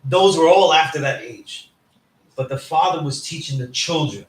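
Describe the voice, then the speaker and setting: A man's voice: a little high, moderate pace. Echoey, emphatic New man teaching. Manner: emphatic, often checks in with "right?". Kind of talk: informal class lecture. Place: indoor classroom. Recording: echoey room, distant mic, background noise.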